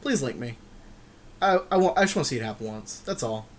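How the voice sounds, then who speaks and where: A man's voice: mid-range voice, animated, a little fast, noisy background. A man co-hosting a gaming podcast with casual, jokey banter, often repeating short phrases. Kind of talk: casual game commentary, banter.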